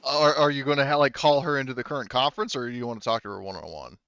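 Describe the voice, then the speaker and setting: Man, game master, smooth voice, casual self-correcting talk, dry and slightly dull recording. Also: mid-range voice, quick pace, fairly bright tone, no room sound. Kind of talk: casual tabletop game narration and chat.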